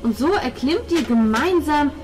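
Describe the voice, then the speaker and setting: A woman's voice: high voice, animated, normal speed. A woman, narrating a tabletop role-playing game as game master. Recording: loud music under the table talk. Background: music.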